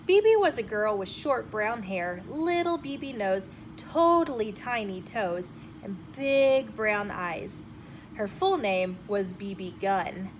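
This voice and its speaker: A woman storyteller reading a children's chapter book aloud in an expressive, emphatic way. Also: mid-range voice, takes it slowly, poor audio. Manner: animated, emphatic phrasing with acted dialogue. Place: inside a bookshop. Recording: noticeable room noise behind the voice.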